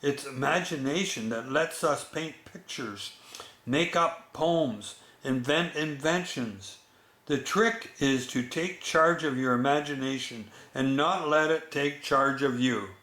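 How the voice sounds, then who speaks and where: A man's voice: mid-range voice, takes it slowly, sharp tone. A man voicing a patient, fatherly papa bear who explains and reassures in a storybook. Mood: earnest, encouraging. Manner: patient, instructive. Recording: echoey room.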